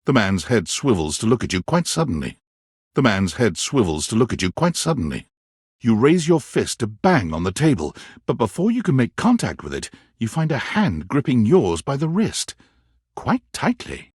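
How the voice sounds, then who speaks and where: A man narrating a romantic comedy video game with measured, dramatic storytelling and deliberate pauses. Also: medium pitch, big pitch swings, darkish tone, breathy edge, no echo, studio quality. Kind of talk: scripted second-person narration of a dating game.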